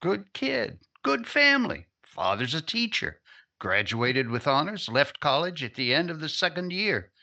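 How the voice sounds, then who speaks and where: An actor playing an earnest, persuasive newspaper reporter in a 1950s radio drama. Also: male voice, fairly high pitch, big pitch swings, unhurried, creaky, sharp tone, full character voice.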